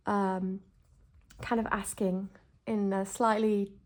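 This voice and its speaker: A young woman with a croaky, slightly rough voice after a bout of flu talks to the camera from her desk. She is chatty and enthusiastic, with plenty of ums and restarts. Her pitch sits in an ordinary middle range. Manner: enthusiastic, chatty, many fillers. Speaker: planner vlogger. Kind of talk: casual chat while setting up a planner.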